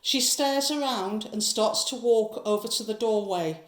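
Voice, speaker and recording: A woman's voice: on the high side, a little slow, echoey room. A woman narrating a horror audio drama from a script, describing each scene.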